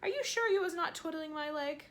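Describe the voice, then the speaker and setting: A woman voicing a huge, very old and kindly male giant who jumbles his words with eager, excitable made-up phrases in a storybook reading. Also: high voice, normal speed, pitched above the performer's own voice, exaggerated voice. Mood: delighted, tender. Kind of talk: storybook read-aloud.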